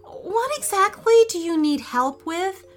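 A woman's voice: extremely high pitch, lively intonation, measured pace, faint music behind, pitched above the performer's own voice. A woman voicing a timid, polite little boy in a storybook, speaking in an earnest, cautious way. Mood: cautious, kind. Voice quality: shaky when frightened.

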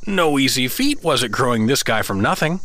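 A man's voice: mid-range voice, sing-song, a little fast, sharp tone. A man playing an affable, flustered suburban husband stranded in a fantasy world, talking in a casual, jokey, hesitant way in a comedic audio drama. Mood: smug, flustered.

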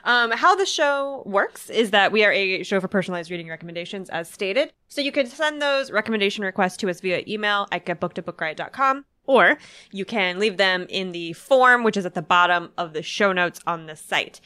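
A woman hosts a podcast in a bright, forward voice, making quick jokes and casual asides. Her voice is a little stuffy from a sinus infection. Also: mid-range voice, lively intonation, clean tone. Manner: chatty, jokey, quick asides. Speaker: podcast host. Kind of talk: casual chat.

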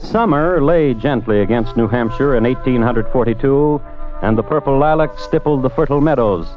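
A man hosting and narrating a scripted old-time radio true-crime show in a deliberate, dry, literary way. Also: mid-range voice. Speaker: host and narrator. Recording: muffled vintage radio recording with music.

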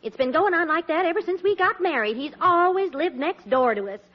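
An actress playing a fed-up, gossipy middle-aged American housewife in a 1940s radio comedy. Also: female voice, very high voice, animated, a little fast. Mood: exasperated gossip. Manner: exasperated, gossipy. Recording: dull old radio broadcast.

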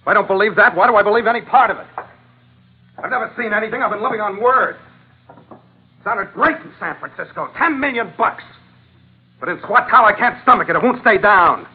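An actor playing a tough, cynical, wisecracking sea captain with blunt, slangy delivery in an old-time radio drama. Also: male voice, high-pitched, a little fast, pauses often, slightly rough. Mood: bitter, fed up. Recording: tinny old radio broadcast with music behind.

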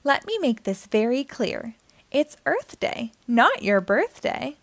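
Woman playing tall fretful giraffe, emphatic, high pitch, slow delivery, storybook. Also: sing-song, fairly bright tone, dry recording, full character voice. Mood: complaining, leading. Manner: complaining, emphatic. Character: tall giraffe, fretful but clear-minded leader.

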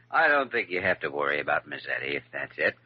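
An actor in an old radio drama playing an earnest, considerate young doctor with a mild manner, on a muffled, noisy recording. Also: male voice, rumbling low, big pitch swings, a little fast, husky, full character voice. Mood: easygoing.

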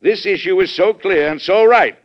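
An actor playing a resolute middle-aged American president with an emphatic, authoritative delivery in an old-time radio drama. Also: male voice, sits high, moderate pace, lightly acted. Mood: firm, impassioned. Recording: dull, lo-fi vintage radio recording.

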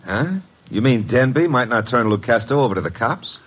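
Lively, husky wisecracking sidekick. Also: male voice, medium pitch, moderate pace, low-quality recording. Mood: sardonic. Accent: American. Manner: breezy, slangy, sardonic. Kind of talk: old-time American radio detective drama acting.

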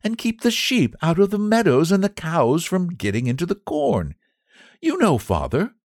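A man voicing a gentle, sweet-natured young lady, a squire's daughter, in a storybook reading. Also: high-pitched, wildly swooping pitch, normal speed, no echo, clean studio sound, pitched above the performer's own voice, exaggerated voice. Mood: pleasant. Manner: sweet, coaxing.

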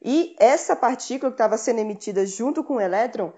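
A woman co-hosting a podcast, explaining physics history in a relaxed way with frequent tag questions. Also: a little high, fast talker. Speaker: podcast co-host. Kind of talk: casual science explanation. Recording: slightly dull lo-fi mic.

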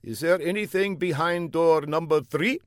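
A man doing a fawning young courier, high and stilted. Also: moderate pace, no echo, clean studio sound, lightly acted. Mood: puzzled. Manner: stilted mock-foreign broken English, overly formal. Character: young bearded male courier, flustered and obsequious.

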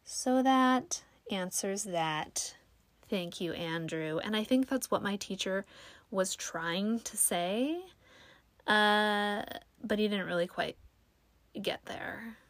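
A woman's voice: medium pitch, takes it slowly, dry recording. Chatty young woman with a soothing voice. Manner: chatty, self-deprecating, lots of fillers. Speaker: podcast host. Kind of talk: casual solo podcast chat.

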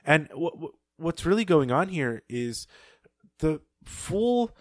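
A man co-hosting a podcast, thoughtfully recapping and discussing a story with some pauses and restarts. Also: medium pitch, no room sound, clean studio sound. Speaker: podcast co-host. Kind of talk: casual book discussion.

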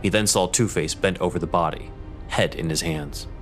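Man, low voice, scripted drama narration, story narrator, music bed. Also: moderate pace.

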